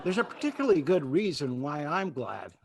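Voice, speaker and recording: A high, husky, bookish innocent. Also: male voice, sing-song, brisk, darkish tone, no room sound, studio quality, heavily acted. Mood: earnest. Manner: polite, pedantic, oblivious. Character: earnest, naive, bookish bachelor biology teacher. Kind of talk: old-time radio comedy acting.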